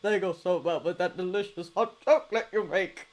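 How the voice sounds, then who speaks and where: A woman voicing a cheery, booming man who speaks loudly and courteously. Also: normal speed, close to an everyday voice. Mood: jovial. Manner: loud, hearty and courteous. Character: cheery, booming older man, polite and gentle.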